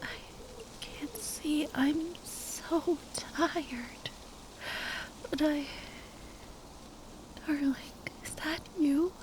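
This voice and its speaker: A woman playing a tender, grieving spellcaster lover in an ASMR roleplay, speaking in a breathy, halting whisper. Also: high voice, fairly even intonation, background noise. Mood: weak, drowsy, confused. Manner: halting, tender, sighing delivery.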